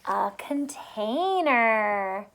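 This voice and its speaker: A woman teacher reading a picture book aloud to young children, pausing to explain simply and ask questions, drawing out words for emphasis. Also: fairly high pitch, animated, fairly bright tone.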